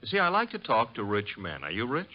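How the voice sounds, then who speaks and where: A man's voice: mid-range voice, huge pitch swings, fast talker. A man with an American accent playing a cocky, wisecracking detective's assistant in an old-time radio drama, glib and teasing. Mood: glib, flippant. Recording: muffled, noisy vintage radio recording.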